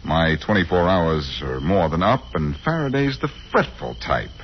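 An actor playing a wry, cool-headed amateur detective in a 1940s radio drama, on a muffled, noisy old recording. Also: male voice, low-pitched, big pitch swings, moderate pace, over loud music. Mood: wry, confident. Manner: wry, sardonic.

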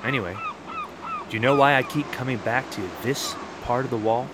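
A man's voice: mid-range voice, fairly quick, noisy background, lightly acted. A man playing a persistent, questioning outsider at a border wall in a one-act audio play, challenging whoever he talks to.

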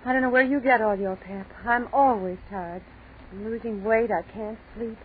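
A woman's voice: a little high, fairly even intonation, normal speed, close to an everyday voice. An actress playing an ordinary young woman in a scripted 1940s radio commercial. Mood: weary, plaintive. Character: young woman in an ad, tired then peppy. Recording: noisy, tinny 1940s radio broadcast.

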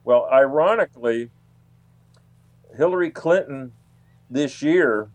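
A man explaining things as an interview guest, in a halting way that often repeats words. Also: mid-range voice, dry recording. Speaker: guest, UFO researcher and author.

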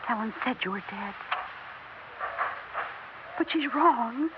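A woman's voice: on the high side, expressive, takes it slowly. An American actress playing a fragile, deluded middle-aged widowed mother in a theatrical, emotionally overwrought 1960s radio drama style. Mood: dazed, desperate. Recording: muffled, noisy 1960s radio recording.

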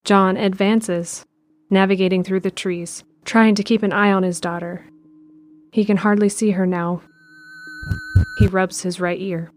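A woman narrating an audio drama in a measured, descriptive way. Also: medium pitch, steady tone, soft background music. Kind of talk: scripted narration.

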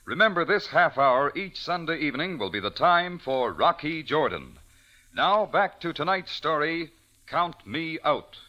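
A man's voice: a little high, big pitch swings, unhurried, bright, forceful tone, muffled recording, slight background noise. A man working as a radio announcer, reading scripted copy in 1940s style on an old radio recording.

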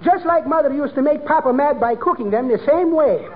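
High-pitched, excitable Greek restaurateur. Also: male voice, a little fast. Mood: earnest, comic. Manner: malapropisms, fractured grammar. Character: excitable Greek restaurant owner mangling English. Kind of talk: 1940s radio comedy acting. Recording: muffled noisy old radio, studio audience.

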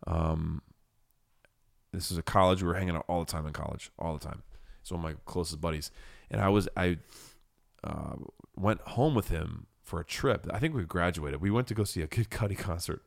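A young man co-hosting a podcast, telling stories in a relaxed, rambling way with a deep, gravelly voice. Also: a little fast. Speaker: podcast co-host. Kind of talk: casual chat.

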